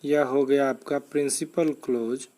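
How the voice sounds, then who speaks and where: A man with an Indian accent teaching a grammar lesson, explaining deliberately and spelling out examples word by word. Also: mid-range voice, fairly even intonation, dark tone. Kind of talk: scripted instructional lesson narration.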